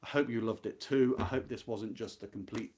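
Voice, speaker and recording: A man's voice: on the low side, steady tone, brisk, a little mellow. A man hosting a book club, talking informally and live about literature in a reflective, earnest and emphatic way. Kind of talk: informal live talk about a classic novel.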